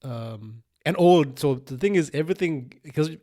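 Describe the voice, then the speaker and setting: A man's voice: a little high, lively intonation, moderate pace, dark tone. A man in his thirties from Sri Lanka, a native Sinhalese speaker, hosting a solo podcast in a casual, rambling way, circling back over phrases and checking in with "right?". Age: 30s. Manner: rambling, repeats phrases and restarts, often says "like" and "right?". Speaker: podcast host. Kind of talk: casual unscripted solo monologue.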